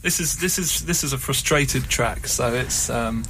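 A man speaking as a musician guest in a casual interview, explaining things in a thoughtful, measured way. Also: mid-range voice, background noise. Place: radio studio.

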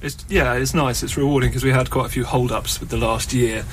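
A man's voice: mid-range voice, steady tone, a little fast, background noise. A man in a band, chatting casually as a guest in a radio studio interview.

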